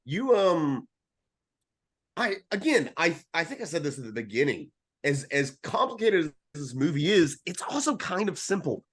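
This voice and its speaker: A man's voice: a little high, big pitch swings, moderate pace, a bit bright, slightly rough. A man co-hosting a casual film discussion, speaking reflectively and swearing freely. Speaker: podcast co-host.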